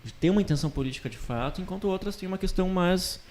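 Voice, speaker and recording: Man, somewhat high pitch, casual podcast talk, reflective, thinking aloud, tag questions. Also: rapid-fire, darkish tone. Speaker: podcast co-host.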